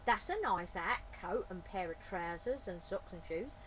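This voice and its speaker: A cheeky storybook burglar played by a woman reader, talking to himself in colloquial, exclamatory bursts. He is a soft-hearted rogue. There is clear noise behind the voice. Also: lowish voice, expressive, moderate pace, voice pushed down, rough recording. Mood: greedy delight.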